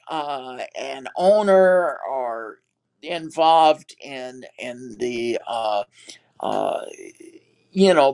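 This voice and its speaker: An older man with a Texas accent, a former TV producer, recalling his career in an interview with many fillers and restarts. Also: on the high side. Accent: Texan. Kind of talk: reflective interview talk.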